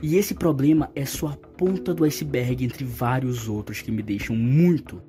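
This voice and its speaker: A man's voice: mid-range voice, moderate pace. A man with a Brazilian Portuguese accent delivering scripted opinion commentary to viewers as a video host. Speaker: YouTube video essay host. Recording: background music under the voice.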